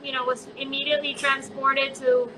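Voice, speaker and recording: A woman's voice: sits high, little pitch movement, moderate pace, pushed and bright, slight background noise, low-quality recording. A woman who grew up in Colombia, a chocolate maker chatting in an online interview, talking casually with many fillers. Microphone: phone on a live video chat.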